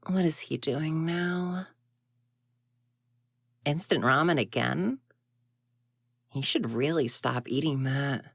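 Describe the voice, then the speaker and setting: Woman acting a grumpy low-voiced tsundere ghost girl. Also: takes it slowly, vocal fry, long pauses, dry recording, studio quality, full character voice. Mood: puzzled, muttering. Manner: huffy, muttering to herself, indignant. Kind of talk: audio roleplay acting.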